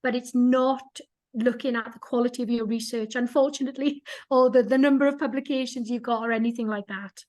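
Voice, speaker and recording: A woman's voice: fairly high pitch, fairly even intonation, a little fast, a little mellow. A woman speaking in a webinar, explaining with enthusiasm and frequent restarts and repeated words. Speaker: guest speaker. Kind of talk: webinar talk.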